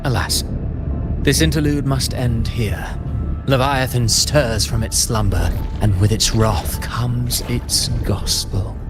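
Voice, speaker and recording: An actor playing a theatrical, scheming game villain who speaks in grandiose, deliberate lines. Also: male voice, mid-range voice, fairly even intonation. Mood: grandly menacing. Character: theatrical male villain, scheming playwright of despair. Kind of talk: scripted game villain monologue. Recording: loud music under the voice. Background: loud music.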